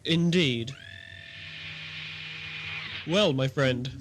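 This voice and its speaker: A man playing a formal, commanding alien ambassador in an audio drama, measured and authoritative. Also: medium pitch, music in the background, low-quality recording. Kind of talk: radio drama acting.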